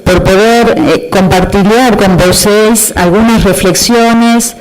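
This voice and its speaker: A native Spanish-speaking woman with a Latin American accent, speaking slowly and carefully at an academic round table. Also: medium pitch, fairly even intonation, poor audio. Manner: apologetic, slow and careful, mixing Spanish and Portuguese. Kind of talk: formal conference presentation. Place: university lecture amphitheater.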